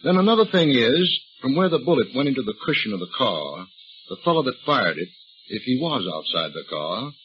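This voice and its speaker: An actor playing a shrewd, folksy older police detective, drawling and calmly needling a suspect in a 1930s radio drama. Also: male voice, medium pitch, fairly quick, natural voice. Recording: dull old radio recording with faint hiss.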